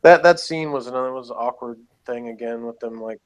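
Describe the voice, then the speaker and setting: A man's voice: medium pitch, brisk. A man co-hosting a casual review chat, talking in a rambling, matter-of-fact way. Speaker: podcast co-host reviewing a TV show.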